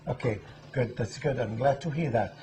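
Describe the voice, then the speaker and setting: A man's voice: mid-range voice, moderate pace, background noise. A man hosting a talk show interview, repeating answers back and praising effusively. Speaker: guest host and interviewer.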